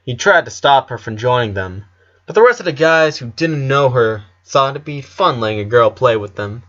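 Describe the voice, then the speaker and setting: A man's voice: mid-range voice, animated, moderate pace, fairly bright tone, lo-fi sound. A man reading a scripted story aloud as an audiobook narrator.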